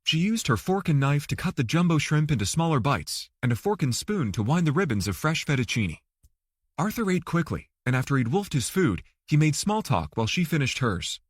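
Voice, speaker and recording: A man's voice: on the high side, very animated, brisk, breathy edge, no echo, clean studio sound. A man reading a thriller novel aloud as an audiobook narrator. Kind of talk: scripted fiction narration.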